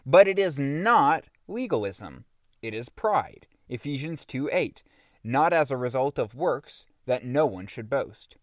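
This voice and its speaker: A man reading a religious audiobook as scripted narration, with emphatic, deliberate phrasing. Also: mid-range voice, big pitch swings, dry recording, studio quality.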